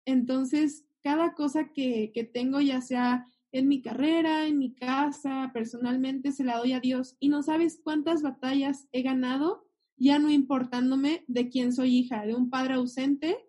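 A woman's voice: high voice, steady tone, a little slow, mellow. A young woman sharing a heartfelt personal faith testimony as a guest. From Mexico. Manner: earnest, heartfelt storytelling.

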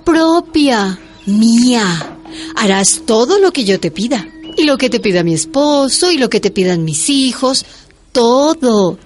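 Snooty cold mistress bossing a servant, radionovela, drawn-out swoopy delivery, bit high, faint music. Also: female voice, lively intonation. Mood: imperious. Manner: imperious, disdainful. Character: cold, haughty mistress of a military household. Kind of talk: radio drama acting.

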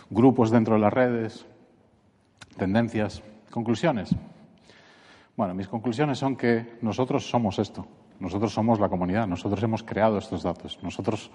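A man from Barcelona with a Spanish accent, giving a conference talk and acting out quotes in a mocking voice. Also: on the low side. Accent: Spanish from Catalonia. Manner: animated, acts out quotes in a mocking voice. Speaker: conference speaker. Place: conference hall. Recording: echoey conference hall.

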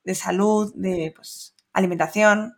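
A woman's voice: mid-range voice, steady tone, takes it slowly, creaky. A woman hosting a podcast, asking her guest questions with plenty of fillers. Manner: hesitant, many fillers while asking. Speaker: podcast host. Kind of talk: casual interview questions.

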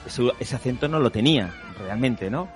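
A man's voice: mid-range voice, lively intonation, moderate pace, loud music behind the voice. A man talking casually about a classic film, eager to share trivia and anecdotes.

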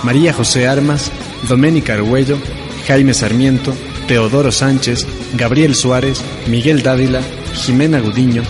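A man's voice: medium pitch, steady tone, moderate pace, music in the background. A man announcing a radio soap opera in a scripted style.

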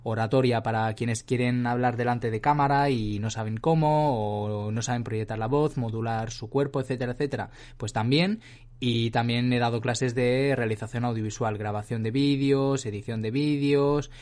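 A man's voice: medium pitch, steady tone, moderate pace, a little mellow. A thirty-year-old man with an accent from Spain, co-hosting a podcast in a chatty, joking way with plenty of fillers. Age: 30s. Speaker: podcast co-host. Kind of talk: casual chat.

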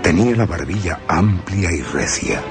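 A man's voice: low-pitched, big pitch swings, normal speed, vocal fry, heavily acted. An actor playing a young male solicitor, who tells his frightening journey in tense first-person radio drama narration. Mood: fearful. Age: young adult. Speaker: narrator as character. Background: music.

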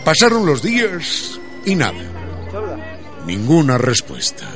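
A man's voice: on the high side, huge pitch swings, takes it slowly, over loud music. A man narrating a scripted radio drama in a storytelling manner.

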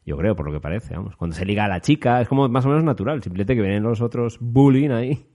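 A man's voice: on the low side, lively intonation, fast, dark tone. A man from co-hosting a film podcast, blunt and crude in an easygoing way. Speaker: podcast co-host. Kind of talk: casual film chat.

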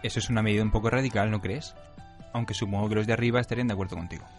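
A man's voice: on the low side, steady tone, rapid-fire, soft background music, close to an everyday voice. A man playing a sober, cautious police investigator in a radio drama. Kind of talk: radio drama adaptation of anime.

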